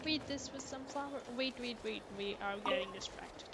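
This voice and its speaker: A woman's voice: on the high side, moderate pace, very noisy. A woman livestreaming a game with casual commentary, reacting aloud and repeating words. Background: loud game music.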